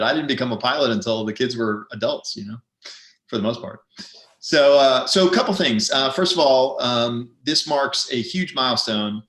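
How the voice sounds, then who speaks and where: Man in his fifties, echoey room. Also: mid-range voice. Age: 50s. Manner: relaxed, rambling, self-deprecating humor. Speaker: co-host of an online business event. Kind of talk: casual webinar chat.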